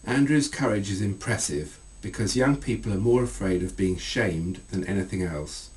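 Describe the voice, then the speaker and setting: A middle-aged man reading aloud from his own book as an author, in measured, deliberate phrases. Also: fairly low pitch, darkish tone, recorded from a distance. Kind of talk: scripted literary reading.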